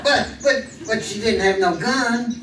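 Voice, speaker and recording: A man acting an excitable, folksy American deputy sidekick in a western radio play, stammering and repeating his words. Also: very high voice, a little slow. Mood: worried. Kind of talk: old-time western radio acting on stage. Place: live theater stage. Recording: roomy, distant live stage recording with noise.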